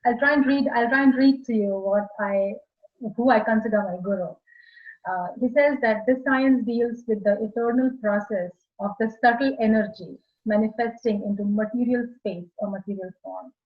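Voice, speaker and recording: Indian-accented woman on a video call. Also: a little high. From India (Maharashtra). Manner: measured, explanatory, slightly halting. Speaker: guest architect. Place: small room indoors. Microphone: earphone mic on video call. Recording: slightly dull video call audio.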